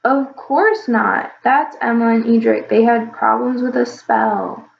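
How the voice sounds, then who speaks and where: A woman voicing a sick, sweet little boy dragon who talks slowly and weakly. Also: on the high side, steady tone, creaky. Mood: feeble. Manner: slow, feeble and whiny. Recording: roomy, slightly dull recording.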